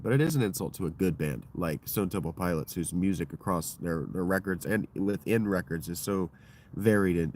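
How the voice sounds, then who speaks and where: A man with a deep voice hosting his own livestream, talking in a loose, digressive and profane monologue that keeps circling back on itself. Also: a little hiss or hum. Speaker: livestream host. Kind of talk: rambling solo livestream monologue.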